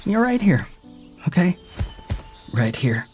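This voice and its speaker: An actor playing a gentle, affectionate and reassuring male companion in an audio drama. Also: sits high, big pitch swings, takes it slowly, faint music behind, exaggerated voice. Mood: tender. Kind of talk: naturalistic audio drama acting.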